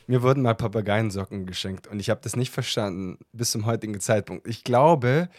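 A man's voice: on the low side, brisk, darkish tone. A talkative man hosting a casual podcast chat, often breaking into laughter while answering questions. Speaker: podcast host.

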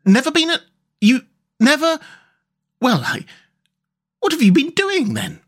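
Man voicing a high-pitched, chatty, dreamy water rat. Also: very animated, normal speed, fairly bright tone, exaggerated voice. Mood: astonished. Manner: chatty, enthusiastic, rambling. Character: cheerful, dreamy, boat-loving male water rat.

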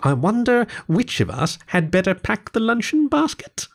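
Man voicing a lively, chatty storybook river rat. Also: high voice, moderate pace, gravelly, pitched above the performer's own voice, full character voice. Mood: chatty, wry. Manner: cheery, chatty, old-fashioned British idiom. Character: friendly, talkative river rat, easygoing gentleman. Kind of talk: storybook character voice.

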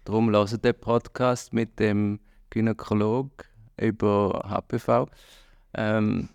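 Low gravelly hesitant Swiss German man. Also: fairly even intonation, takes it slowly, darkish tone, no echo. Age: 40s. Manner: hesitant, many fillers, reflective. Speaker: podcast guest. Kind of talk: casual chat.

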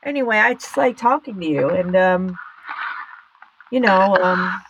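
A woman's voice: medium pitch, a little slow, lo-fi sound, very noisy, natural voice. Lady acting as needy sad customer guy, rambles and overshares, hesitant, comedy sketch. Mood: despondent. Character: rambling, needy, downcast male political aide as customer.

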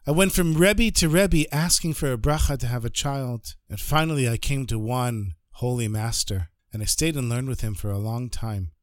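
A man voicing a wealthy male disciple who tells his story calmly and earnestly. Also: mid-range voice, normal speed, few pauses, dry recording, clean studio sound, close to an everyday voice.

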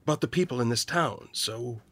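An actor playing a weary, guilt-ridden small-town loner in a naturalistic audio drama, husky and hesitant, confessing haltingly. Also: male voice, medium pitch, fairly bright tone, dry recording, natural voice. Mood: reluctant confessing.

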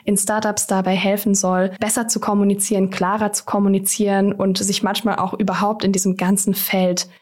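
A woman's voice: medium pitch, level tone, brisk, hardly any pauses, darkish tone. A woman hosting a podcast interview, upbeat and full of praise, her voice a little worn from being under the weather. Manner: upbeat, effusive introductions. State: slightly under the weather, voice strained. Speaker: podcast host.

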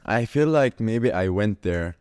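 A young man from Syria, a guest on a chat podcast, tells his story with lively energy and laughs easily. His voice sits low and sounds smooth and clear, with a dry, close sound. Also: measured pace, no echo. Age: 20s. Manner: animated storyteller, laughs often. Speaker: podcast guest, mindset coach. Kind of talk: casual interview chat.

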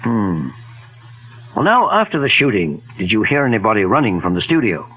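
An actor playing a calm, polite, inquisitive gentleman detective in a 1940s radio drama. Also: fairly low pitch, moderate pace, rough recording. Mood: polite, measured. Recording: old 1940s radio recording.

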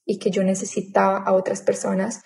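A woman in her thirties telling her own story in a casual interview, chatty and animated, acting out her past thoughts as quotes. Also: medium pitch, brisk, creaky. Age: 30s. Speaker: interview guest.

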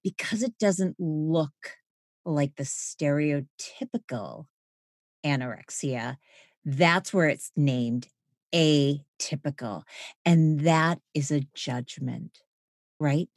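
A woman hosts an interview in a very low, unhurried voice, recorded dry and close. She asks probing questions in a measured, affirming way. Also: dry recording. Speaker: podcast host.

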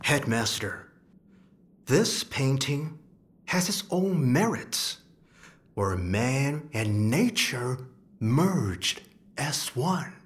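An actor playing a dignified, learned master painter and protective mentor in a radio drama, measured and deliberate. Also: male voice, medium pitch, big pitch swings. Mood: respectful pleading.

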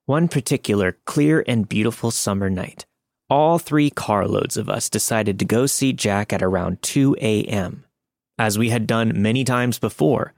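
A man's voice: medium pitch, a little mellow, no room sound, clean studio sound. A man reading a scary first-person story as scripted podcast narration.

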